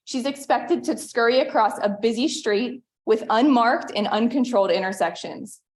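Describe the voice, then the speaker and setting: A woman in her thirties from western Pennsylvania, reading a prepared public comment at a town meeting in an earnest, measured way. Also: fairly high pitch. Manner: earnest, measured, reads from notes. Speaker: resident giving public comment.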